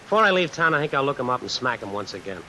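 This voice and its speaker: A man's voice: mid-range voice, sing-song, rapid-fire, sharp tone, a little hiss or hum, full character voice, rough recording. A man acting a tough, vengeful drifter who narrates his own story in old-time radio suspense style, wry and slangy. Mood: wry, resolute. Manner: hard-boiled, wry, slangy. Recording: vintage radio recording under music, rain. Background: music and rain.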